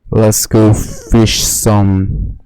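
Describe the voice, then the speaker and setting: Casting: male game streamer, lowish voice, very slow halting offhand remarks, faint music behind. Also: level tone, warm tone, smooth, no room sound, rough recording. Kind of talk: casual commentary over gameplay.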